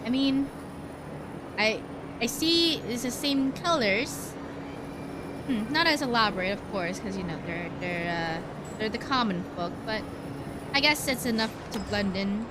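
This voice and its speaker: A woman's voice: on the high side, expressive, a little slow, pauses often, noisy background. A woman giving casual, rambling live commentary as she plays a video game, chatty and slangy. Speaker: streamer playing a video game. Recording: game music behind voice.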